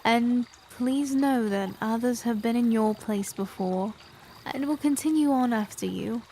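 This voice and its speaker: A woman playing a calm, measured queen. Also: on the high side, slight background noise. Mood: calm, consoling. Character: reserved young woman, later a composed queen. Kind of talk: audio drama acting.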